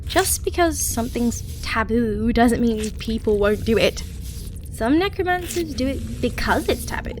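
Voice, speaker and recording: A pragmatic female necromancer played by a woman with a fairly high voice, chatting to the listener in an audio roleplay. She is casual and matter-of-fact, like a craftswoman at work. There is some faint noise behind her. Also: lively intonation, unhurried. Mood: chatty, upbeat.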